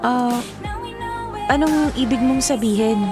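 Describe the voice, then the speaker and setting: Casting: shy, flustered young Filipina in radio romance, high-pitched and unhurried, hesitant delivery. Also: female voice, over loud music. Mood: awkward, hesitant. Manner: hesitant, Taglish code-switching. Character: flustered young woman, Monet, shy and encouraging toward her admirer.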